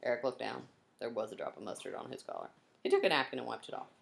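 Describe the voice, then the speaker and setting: A woman's voice: rumbling low, sing-song, quick pace, creaky. A woman narrating a children's storybook aloud expressively, like a teacher reading to young pupils.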